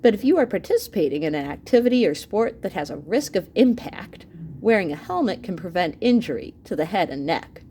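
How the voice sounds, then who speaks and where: A woman's voice: mid-range voice, brisk, slight background noise. A woman reading an educational book aloud as a teacher to students, expressively, speaking directly to her listeners with asides. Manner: animated, with asides and exclamations to listeners.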